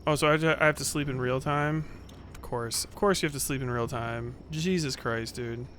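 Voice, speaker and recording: A man's voice: medium pitch, fairly even intonation, a little fast, a bit bright, slight background noise. A man streaming a video game, grumbling and swearing to himself as he plays. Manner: profane, grumbling, talking to himself. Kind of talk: casual gameplay commentary.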